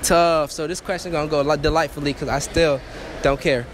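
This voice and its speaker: A man's voice: fairly high pitch, fairly even intonation, brisk, over loud music. A teenage man hosting a joking street interview in a shopping mall with a handheld mic, teasing and boastful. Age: teens. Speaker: host.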